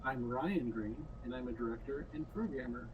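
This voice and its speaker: A man's voice: mid-range voice, moderate pace, distant mic, lo-fi sound. A man talks in a casual, reflective way, feeling out his phrases. His voice is mid-range and sits a bit away from the mic, over faint noise. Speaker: game director and programmer. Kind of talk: casual panel talk.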